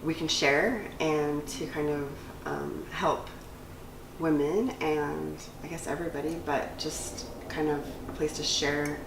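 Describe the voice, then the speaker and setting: A woman's voice: deep voice, little pitch movement, a little slow, gravelly, echoey room, far from the mic, background noise. A woman in her late thirties co-hosting a casual vlog, rambling with many fillers. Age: 30s. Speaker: cosmetologist and instructor, channel co-host. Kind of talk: casual chat.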